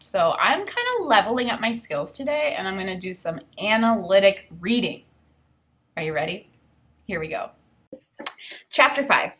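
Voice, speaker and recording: A woman teacher reading a children's novel aloud in an expressive way, with informal, explanatory think-aloud comments. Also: medium pitch.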